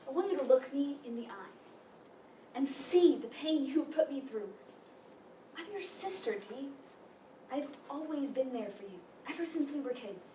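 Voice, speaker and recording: An actress playing a hurt, bitter, fed-up sister in a staged play reading. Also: female voice, high voice, animated, moderate pace, pauses often, warm tone. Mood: hurt, resolute. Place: meeting room staged reading, distant camera. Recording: echoey room from far off, noisy.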